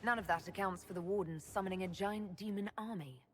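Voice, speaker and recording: A woman's voice: mid-range voice, a little fast, slight background noise, lightly acted. An actress voicing a resolute female Inquisitor who speaks firmly and decisively. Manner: firm, decisive.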